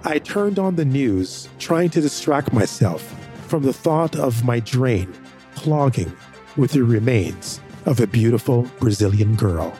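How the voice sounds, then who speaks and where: A man narrating a first-person audio drama with expressive delivery. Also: unhurried, soft background music. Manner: expressive, rising pitch in dialogue.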